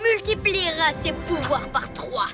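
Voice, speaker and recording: A woman's voice: extremely high pitch, animated, a little slow. A woman voicing a bossy, scheming girl villain in a French cartoon, theatrical and smug. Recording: music behind the voice.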